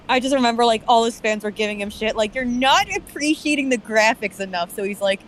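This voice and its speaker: A woman's voice: a little high, moderate pace, a bit bright, slight background noise, rough recording. A young adult woman talking casually about games as a co-host. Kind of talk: casual gaming chat.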